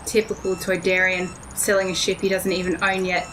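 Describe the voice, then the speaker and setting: A woman's voice: fairly low pitch, monotone, fairly quick, a bit bright, slight background noise, natural voice, low-quality recording. A woman playing a self-assured, blunt pilot and bomb tinkerer with a wry manner in an audio drama. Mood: cocky, wry. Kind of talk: scripted audio drama acting.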